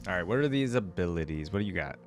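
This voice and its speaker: Guy with a lowish voice doing an excited gaming reaction, chatty, faint music under him. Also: fast. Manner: enthusiastic, informal. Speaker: video game fan reacting on his channel. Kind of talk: casual reaction commentary and reading a story aloud.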